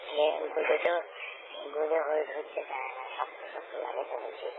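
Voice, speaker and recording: A man's voice: fairly high pitch, flat delivery, a little fast, creaky. A man chatting casually and intimately on a phone call, often repeating his own phrases. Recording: noisy, narrow phone call recording.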